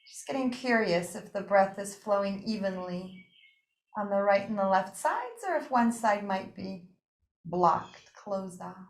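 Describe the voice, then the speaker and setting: A woman teaching breathing and yoga nidra, guiding a relaxation practice with gentle, invitational phrasing and pauses. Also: medium pitch, expressive. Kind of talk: guided meditation and relaxation instruction. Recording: roomy, echoing room sound.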